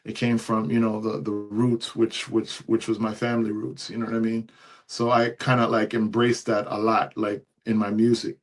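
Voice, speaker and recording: A middle-aged man, born in Canada and raised in New York City, talking as a DJ guest in a casual interview, relaxed and often saying "you know what I mean". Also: fairly low pitch, moderate pace, faint music behind.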